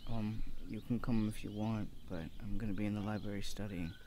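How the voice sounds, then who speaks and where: A man's voice: lowish voice, steady tone, a little fast, warm tone, slight background noise, lightly acted. A man playing a shy, flustered young male university student in an ASMR roleplay, stammering and speaking in a hesitant, awkward, earnest way. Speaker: voice actor. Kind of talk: ASMR boyfriend audio roleplay.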